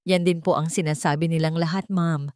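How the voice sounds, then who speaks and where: A woman's voice: sits low, fairly even intonation, brisk, darkish tone, dry recording, close to an everyday voice. Lady narrator doing a filipino cop in a story, cold n formal, polite but won't budge, dry audio. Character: cold, businesslike male police officer.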